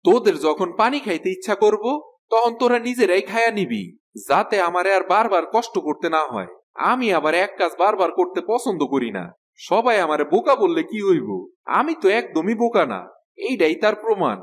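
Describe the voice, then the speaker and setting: An actor playing a naive, self-satisfied village farmer and husband in a cartoon, with a rural Bangladeshi Bengali accent. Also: male voice, sits high, sing-song, brisk. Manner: naive, earnest, boastful. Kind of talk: cartoon voice acting.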